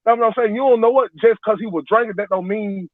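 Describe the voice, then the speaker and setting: A man's voice: sits high, quick pace, no room sound. A man in his forties calling in, rambling and defending his point, repeating himself. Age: 40s. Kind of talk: heated casual debate. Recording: tinny phone line, dry.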